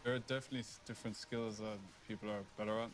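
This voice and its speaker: A man's voice: lowish voice, level tone, rapid-fire. A man from Ireland, speaking in enthusiastic interview soundbites about his team. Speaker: map-building team member. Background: music.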